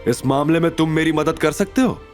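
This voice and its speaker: A man playing a stern, authoritative major with quiet menace, in an audio drama. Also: fairly high pitch, a little fast, faint music behind, pitched above the performer's own voice, lightly acted. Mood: stern, menacing. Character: stern, commanding male major, protective and menacing. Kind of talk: Hindi audio drama acting.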